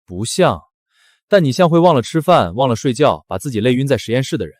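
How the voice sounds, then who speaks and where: A man playing an attentive, gently teasing young minder in a short drama. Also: medium pitch, big pitch swings, quick pace, no room sound, studio quality.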